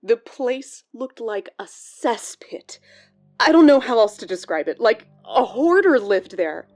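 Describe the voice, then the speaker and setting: A woman's voice: fairly high pitch, expressive, a little slow, darkish tone. A woman playing a worried, hesitant colleague giving interview testimony in a true-crime audio drama. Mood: uneasy. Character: worried female bank colleague recalling a troubling friend. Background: background music.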